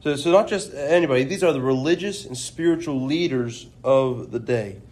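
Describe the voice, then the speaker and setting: A man's voice: mid-range voice, moderate pace, a little mellow. A man co-hosting a podcast, talking through scripture in an earnest, thoughtful way, often restarting his sentences. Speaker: podcast co-host. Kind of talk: casual religious discussion.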